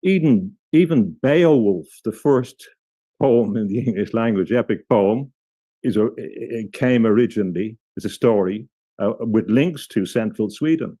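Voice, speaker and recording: Low, mellow-voiced man explaining. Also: animated, breathy edge, dry recording. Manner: thoughtful, halting, frequent restarts. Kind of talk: explanatory interview talk.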